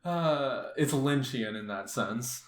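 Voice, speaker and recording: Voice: medium pitch, animated, takes it slowly, echoey room. A young adult narrator hosting a group dramatic reading and chatting casually about it.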